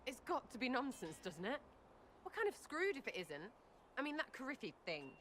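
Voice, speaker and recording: An actress playing a brash, irreverent young elf archer in a video game, with a blunt, slangy manner. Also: female voice, high voice, very animated, moderate pace, a bit bright, a little hiss or hum. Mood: agitated, incredulous. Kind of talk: video game character acting.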